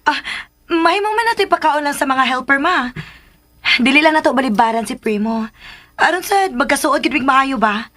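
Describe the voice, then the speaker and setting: An actress with a Filipino accent playing an eager, coaxing young daughter in a radio drama. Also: female voice, sits high, animated, normal speed, fairly bright tone. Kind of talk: Philippine radio drama acting.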